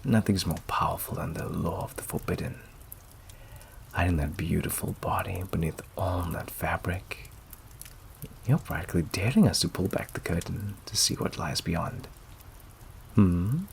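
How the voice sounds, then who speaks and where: A suave male vampire played by a man for an ASMR roleplay, speaking very low with a gravelly fry. He is possessive and courteous at once, teasing and menacing in silky tones that often sink to whispers. Noisy rain and fireplace ambience runs behind him. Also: normal speed. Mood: seductive, teasing. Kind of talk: intimate ASMR roleplay acting. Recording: clear background noise and ambience.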